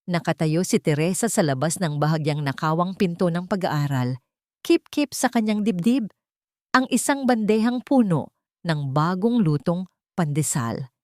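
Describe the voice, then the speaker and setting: Lower-pitched woman narrating dramatic love stories. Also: lively intonation, unhurried, darkish tone, no echo, studio quality. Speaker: storyteller.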